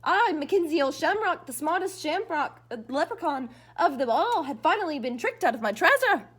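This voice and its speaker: A woman's voice: sits high, expressive, moderate pace. A woman voicing a grumpy, suspicious, boastful old male leprechaun telling his own storybook tale dramatically. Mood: mournful, indignant.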